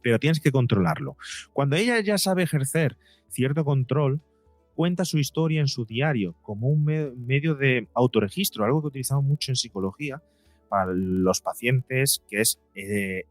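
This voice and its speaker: A man's voice: mid-range voice, animated, normal speed, no echo, faint music behind. A man speaking as an interview guest and psychologist, explaining in a conversational way and voicing imagined quotes to illustrate his points.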